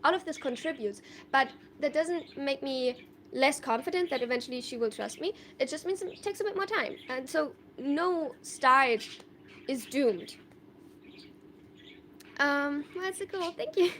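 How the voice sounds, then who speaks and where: A woman's voice: high-pitched, animated, measured pace, fairly bright tone, a little hiss or hum. A young woman from Germany chatting casually on a live stream, answering viewer questions in a thoughtful, rambling way with many fillers and restarts. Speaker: livestreamer.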